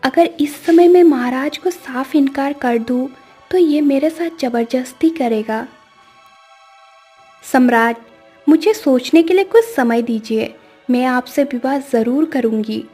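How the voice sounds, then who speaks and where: A woman's voice: high-pitched, slow pace, dark tone, breathy edge, soft background music, exaggerated voice. A woman voicing a young, clever princess in a cartoon story, composed and persuasive. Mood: wary, composed.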